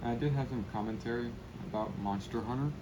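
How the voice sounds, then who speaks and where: A young man chatting casually and rambling in a low-key way while he spray paints. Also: fairly quick, highs cut off, very noisy.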